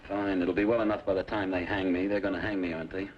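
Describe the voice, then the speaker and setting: An actor playing a defiant, principled prisoner in a frontier jail in an old-time radio western. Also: male voice, low-pitched, level tone, quick pace, close to an everyday voice. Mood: bitter. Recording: dull, noisy old radio recording.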